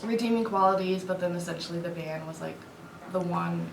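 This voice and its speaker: A woman's voice: on the low side, brisk, creaky, reverberant, noisy background. A young woman in her early twenties from Indiana, answering interview questions hesitantly with many fillers. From Indiana, USA. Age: early 20s. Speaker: student guest.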